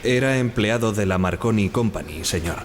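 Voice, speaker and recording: An actor playing a respectful young ship's radio operator giving formal testimony in an audio drama, over a noisy background. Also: male voice, on the low side, moderate pace, close to an everyday voice. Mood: formal, restrained.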